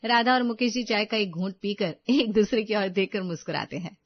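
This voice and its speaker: A woman's voice: mid-range voice, fairly quick, no room sound, highs cut off. A woman narrating a scripted Hindi family story as a storyteller.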